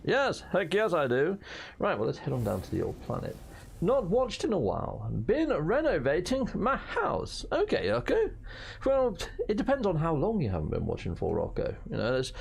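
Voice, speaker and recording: British man, hoarse, gravelly voice, very animated pitch, chatty gameplay commentary. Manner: chatty and jokey, with playful slang and mild swearing. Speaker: gaming channel host.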